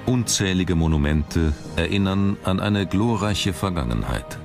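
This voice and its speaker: A man reading scripted documentary narration with measured, dramatic phrasing. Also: low-pitched, animated, music in the background.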